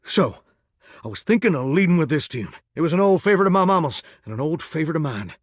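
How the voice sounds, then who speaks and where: A man's voice: fairly high pitch, animated, quick pace, no echo, clean studio sound. A man voicing a flirty, dramatic living shower who dreams of singing stardom, with a folksy, effusive Southern American accent, in a video game. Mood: excited, hopeful. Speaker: dateable character in a video game. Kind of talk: animated video game voice acting.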